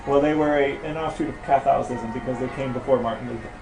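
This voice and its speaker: A man's voice: mid-range voice, steady tone, fast talker. An American man co-hosting a podcast, chatting casually and asking playful questions in a dryly mock-serious way. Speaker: podcast co-host, interviewer. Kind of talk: casual chat and playful interviewing. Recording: echoey room, faint music.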